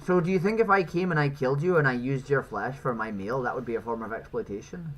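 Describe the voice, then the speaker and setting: A woman's voice: rumbling low, fast, poor audio. A woman streamer questioning strangers casually in a silly, put-on Irish accent full of repeated tag phrases. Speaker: streamer interviewing strangers on a live video chat.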